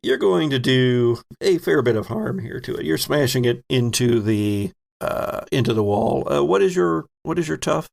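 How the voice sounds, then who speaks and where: A man's voice: medium pitch, expressive, normal speed, vocal fry, hardly any pauses. A man narrating a tabletop horror game as game master, describing scenes vividly and addressing the players directly.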